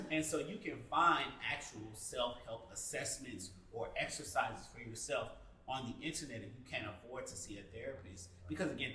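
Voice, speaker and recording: A man's voice: medium pitch, a bit bright. A man giving candid advice in a group discussion, speaking in an emphatic, sassy manner. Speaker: panelist. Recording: roomy, distant mic, faint background noise.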